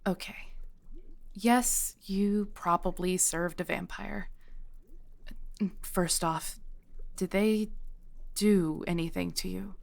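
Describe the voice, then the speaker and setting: A woman playing a patient, knowing witch shopkeeper, centuries old, in an audio roleplay, with a conversational, pausing delivery. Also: lowish voice, steady tone, gravelly. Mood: calm, explaining. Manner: unhurried, pausing, sighing, conversational.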